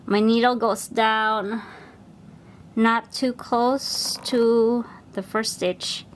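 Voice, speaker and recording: Filipino woman in her 40s, slow and chatty, hobby vlogger muttering while she stitches. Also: a little high, steady tone, a little hiss or hum. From Philippines. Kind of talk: casual stitch-along chat.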